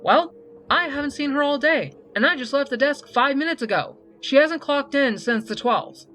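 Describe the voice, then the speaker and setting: Narrator playing brusque female head nurse, raised pitch, catty, accusing, story character. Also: lively intonation, moderate pace, bright, forceful tone, a little hiss or hum. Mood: insistent. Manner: gruff, catty, blunt. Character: gruff, suspicious squat Korean head nurse, a woman.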